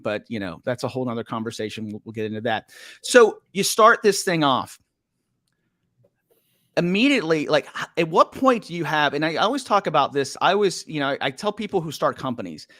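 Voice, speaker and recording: A man's voice: a little high, a bit bright, no room sound, studio quality. A man hosting a podcast interview, asking long rambling questions with jokes and fillers. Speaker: podcast host. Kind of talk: casual interview chat.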